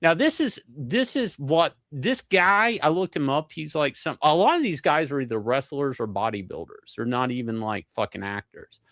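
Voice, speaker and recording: A man's voice: on the high side, expressive, moderate pace, few pauses, fairly bright tone, dry recording, studio quality. A man co-hosting a livestream, giving casual, slangy, profanity-laced reaction commentary. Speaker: livestream co-host.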